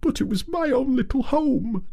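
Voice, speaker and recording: A small, timid mole pleads in a high, strained, sobbing voice. The pitch sits far above the reader's own and swoops with every breath. The tone is thin and unlike a plain speaking voice. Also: male voice, lively intonation, normal speed, gravelly, warm tone, exaggerated voice. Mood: grieving.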